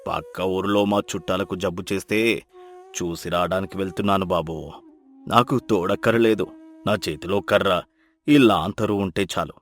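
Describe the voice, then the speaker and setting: An actor playing a kindly old blind village mentor who speaks in a measured, fatherly, moralizing way in a dramatized story. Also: low voice, steady tone, faint music behind. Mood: gentle, reflective. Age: older.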